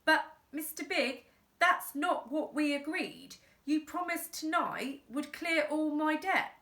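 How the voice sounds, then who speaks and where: A woman's voice: high voice, lively intonation, slow pace, bright, forceful tone, reverberant, voice pushed up, full character voice. Woman doing a worried dad begging, meek and shaky. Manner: pleading. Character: anxious indebted father, pleading and meek.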